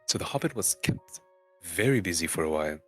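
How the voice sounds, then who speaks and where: A man reading a fantasy storybook aloud as a streamer, halting and often stumbling and repeating words. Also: low voice, expressive, faint music behind. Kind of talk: amateur dramatic storybook narration.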